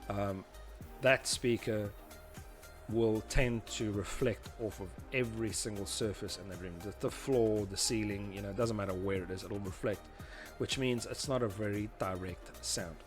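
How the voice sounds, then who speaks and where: A man's voice: on the low side, fairly even intonation, normal speed, music in the background. A man presenting an informal tutorial, talking through diagrams in a conversational, explanatory way. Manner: informal, conversational, often adds "you know" and "obviously". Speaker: presenter of a tutorial series on PA systems.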